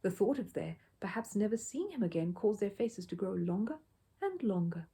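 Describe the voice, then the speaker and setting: A woman's voice: medium pitch, very animated, normal speed, warm tone, slightly rough. A woman reading a children's story aloud as scripted narration. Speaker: storybook reader.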